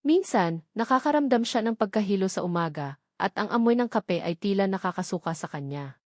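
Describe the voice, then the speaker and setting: A woman's voice: medium pitch, animated, a little fast, a little mellow, dry recording, clean studio sound. A woman with a Filipino accent reads a scripted romance story as its narrator, in a dramatic storytelling manner.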